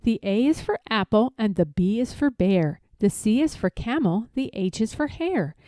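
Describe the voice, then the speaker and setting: A woman's voice: fairly high pitch, expressive, moderate pace, dark tone, dry recording, studio quality. A woman reading a rhyming picture book aloud expressively, drawing out key words for emphasis. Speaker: read-aloud storyteller.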